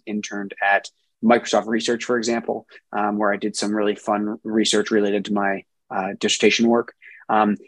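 A man from New Jersey, a software engineer answering interview questions in a relaxed way, with many ums and restarts. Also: mid-range voice, slightly rough, dry recording. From New Jersey, USA.

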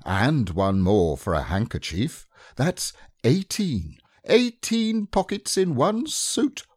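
A man's voice: medium pitch, big pitch swings, slow, darkish tone, no echo, studio quality, pitched above the performer's own voice. A man voicing a bossy, fussy male rabbit who talks in a precise, self-important way in an audiobook reading.

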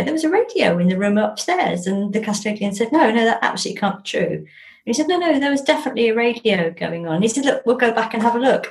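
Woman w middling pitch chatting casually, animated storyteller type, bit whimsical, echoey recording. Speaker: interview guest, author. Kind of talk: jolly casual chat and anecdotes.

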